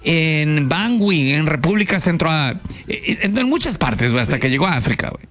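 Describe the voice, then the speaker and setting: A man's voice: on the high side, big pitch swings, moderate pace, a bit bright. A man with a Mexican accent hosting a casual radio chat, slangy and prone to restarts. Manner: chatty, slangy, trails off and restarts. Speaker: radio host.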